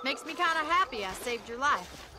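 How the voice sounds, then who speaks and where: A woman's voice: sits high, expressive, normal speed, noisy background. A woman voicing a spirited young rancher in a game cutscene, forthright and teasing in her plainspoken talk. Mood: playful. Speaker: ranch owner. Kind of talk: video game cutscene dialogue.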